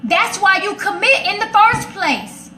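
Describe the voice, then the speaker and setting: High-voiced, direct woman in an echoey room. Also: expressive, unhurried, sharp tone. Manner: direct, opinionated, emphatic repetition. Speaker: talk show host addressing live comments. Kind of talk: casual, personal relationship talk. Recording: echoey room, faint background noise.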